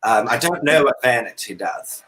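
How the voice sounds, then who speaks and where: A man's voice: mid-range voice, expressive, normal speed, sharp tone, a little hiss or hum, poor audio. A man from Canada chatting comically as a talk show guest in an affected, theatrical dandy persona, with hesitant phrasing. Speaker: drag comedy performer, talk show guest. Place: indoor room at home, on a video call. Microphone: video call.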